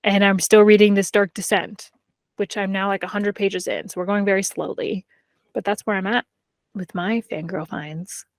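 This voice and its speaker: A woman with a medium-pitched voice talks like she is chatting with a friend on a podcast. Her speech is informal and full of "like". The recording is dry, with hardly any room sound. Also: fairly even intonation, normal speed, no room sound. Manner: informal, conversational, peppered with "like". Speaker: podcast co-host. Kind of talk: casual chat about books and TV.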